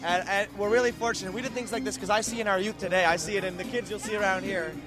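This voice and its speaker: A man's voice: high-pitched, steady tone, quick pace, low-quality recording. A man being interviewed at an outdoor festival, talking earnestly and repeating words as he starts his sentences. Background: music and yelling kids.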